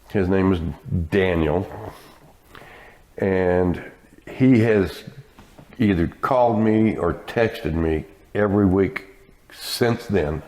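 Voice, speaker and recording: Older man, deep low voice, relaxed guest storyteller who laughs a lot. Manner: laughs often while telling stories. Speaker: interview guest, village staff and volunteer. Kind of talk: casual storytelling interview.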